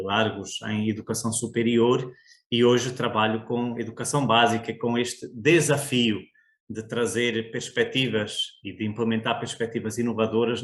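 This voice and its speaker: Portuguese man with a roomy echo. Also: fairly low pitch. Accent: European Portuguese, Coimbra. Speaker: guest, school coordinator. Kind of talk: conversational podcast interview.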